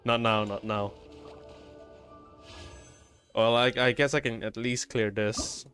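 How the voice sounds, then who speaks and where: A man's voice: medium pitch, steady tone, slow, fairly bright tone, soft background music. A man livestreaming a game, talking casually and muttering to himself as he plays. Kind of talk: casual solo gaming stream chatter.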